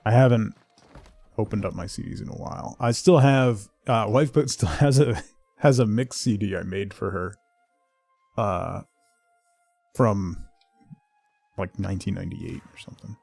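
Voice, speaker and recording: A man's voice: on the low side, normal speed, gravelly, pauses often, darkish tone, husky, no room sound, soft background music. A middle-aged man streaming a game, chatting casually with viewers and muttering to himself in between. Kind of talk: casual chat while playing a game, replying to viewers.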